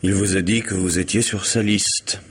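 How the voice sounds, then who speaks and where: Urbane radio-play lawyer, deep voice with rasp, formal diction, composed and inquisitive. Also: male voice, fairly even intonation. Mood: probing, composed. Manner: measured, formal, questioning. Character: urbane, probing male lawyer and family friend. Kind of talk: vintage French radio drama acting.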